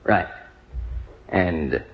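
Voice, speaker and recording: A man's voice: sits low, extremely slow, heavily acted. An actor playing an easygoing lunchroom counterman in an old-style radio drama. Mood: casual, businesslike. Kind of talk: mid-20th-century radio drama acting. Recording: dull, lo-fi old radio recording.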